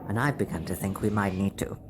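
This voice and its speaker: A resolute female starship captain acted by a woman in a science fiction audio drama. She speaks in a measured way, as someone weighing hard choices. Loud engine rumble and noise sit behind the voice. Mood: reflective, resolved. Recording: noisy track with engine rumble.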